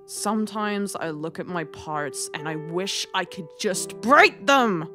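A grumpy, jaded cartoon toy voiced by a woman speaks bluntly in a lowish register. The delivery is dry and unimpressed, over faint music. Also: animated, slow pace. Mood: frustrated. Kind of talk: cartoon comic dub.

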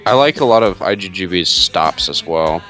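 A man's voice: low voice, animated, normal speed, fairly bright tone, poor audio. A young man giving casual commentary and banter as a co-host on a video call. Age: young adult. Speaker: co-host of a track review stream. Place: home room on a group video call. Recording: music playing behind the voice. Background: music with guitar.

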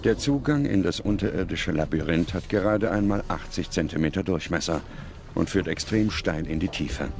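Deep-voiced man narrating a documentary. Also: normal speed, vocal fry, darkish tone.

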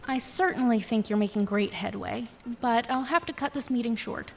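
A woman playing a brisk, obliging newspaper journalist in audio drama dialogue, businesslike and persuasive. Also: on the high side, vocal fry, a little hiss or hum.

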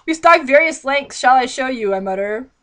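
Young female vlogger, fairly high pitch, theatrical and exasperated, reading aloud with mocking commentary. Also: expressive, moderate pace, pushed and bright. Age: young adult. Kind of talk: mocking read-aloud with sarcastic running commentary. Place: small indoor bedroom.

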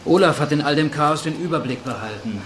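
An actor playing a weary, sardonic survivor in a radio drama, wry and matter-of-fact. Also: male voice, a little high, animated, fairly quick, a bit bright. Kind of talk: German radio drama acting. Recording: echoey with noisy ambience.

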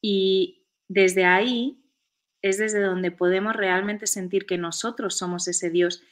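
A woman in her forties, a guest on a spiritual video-call chat, who explains her ideas calmly and slows down for key points. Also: medium pitch. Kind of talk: reflective spiritual conversation. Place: home room, indoors. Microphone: video call webcam.

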